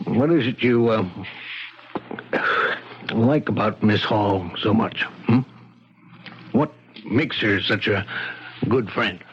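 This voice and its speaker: An old radio mystery recording with faint noise carries a shy American police lieutenant. He speaks slowly and hesitantly, stumbling over his words like an awkward suitor, in a lowish voice. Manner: halting, stammering, hesitant. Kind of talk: 1970s radio mystery drama acting. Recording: dull, noisy vintage radio broadcast.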